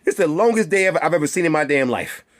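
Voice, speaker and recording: A man rants straight to his viewers, hammering each point with rhetorical questions and swearing. His voice sits high and swoops widely up and down as he repeats phrases for emphasis. It sounds like a solo host talking his mind on his own channel. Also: animated, fast. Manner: heated and emphatic. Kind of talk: ranting monologue to viewers.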